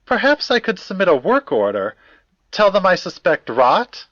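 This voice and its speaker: A man's voice: high-pitched, huge pitch swings, normal speed, fairly bright tone, dry recording, dull audio, full character voice. A man playing a fussy, courtly academic in an audio drama, speaking in formal, wordy sentences with old-fashioned politeness. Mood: earnest, polite.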